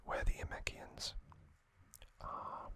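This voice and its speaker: Slow, breathy ASMR whisperer. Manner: slow, hushed, halting delivery with pauses. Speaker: ASMR host. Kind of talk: whispered ASMR trivia recap.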